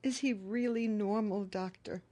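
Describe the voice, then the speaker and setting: A woman's voice: mid-range voice, fairly even intonation, unhurried, warm tone, lightly acted. An actress playing a fretful, doting mother of a grown son in a radio drama, anxious and fussing. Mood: nervous, fussing.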